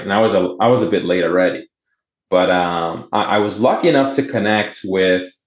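Low-voiced man telling his story. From Boston area, United States. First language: Portuguese. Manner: relaxed and halting, with many fillers and restarts. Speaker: guest. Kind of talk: casual interview, storytelling. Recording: roomy, dull remote audio.

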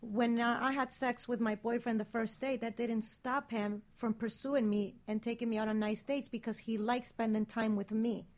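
A woman's voice: a little high, steady tone, normal speed, few pauses. A woman on a radio panel arguing her opinion in casual, insistent, emphatic conversation.

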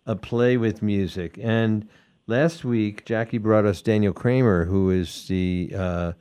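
A man's voice: on the low side, steady tone, slow, mellow, clean tone. A man, hosting a conversational interview. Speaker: interview host.

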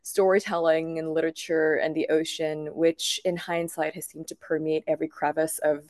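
Low-voiced woman, chatty interview guest. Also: little pitch movement, normal speed, a little mellow, no room sound. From Thailand, grew up in Bangkok. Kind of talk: conversational interview, personal storytelling.

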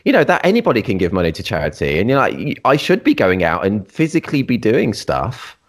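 A man's voice: lowish voice, wildly swooping pitch, fast. A man hosting a casual interview, effusive and self-deprecating, who often sighs and laughs. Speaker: podcast host.

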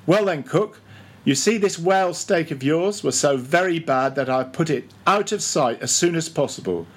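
A man's voice: on the high side, animated, moderate pace, breathy edge, voice pushed up. A man voicing a bossy, mocking ship's mate who badgers and gives orders in a storybook reading. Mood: instructing. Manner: commanding, interrogating, mock-solemn.

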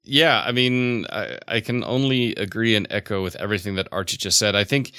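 A man's voice: lowish voice, brisk, bright, forceful tone, smooth, no echo, clean studio sound. A man as a football pundit in a casual podcast chat, agreeable and explaining at length.

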